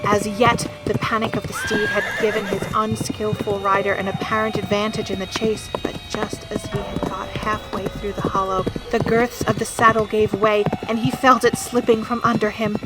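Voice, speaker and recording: Female narrator for an audio drama, mid-pitched, theatrical storyteller with wide dynamics, clear music underneath. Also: level tone, normal speed, few pauses, rough recording. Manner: theatrical, swelling from hushed to loud.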